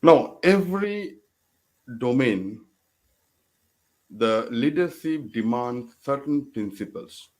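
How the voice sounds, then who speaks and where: A man hosting a talk show interview, speaking haltingly with many fillers. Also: mid-range voice, animated, a little mellow.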